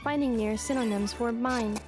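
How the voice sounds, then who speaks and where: A woman's voice: fairly high pitch, moderate pace, music in the background, natural voice. A woman voicing an earnest, curious robot girl with memory loss, speaking in stilted, literal phrases for a video game. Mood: earnest, matter-of-fact.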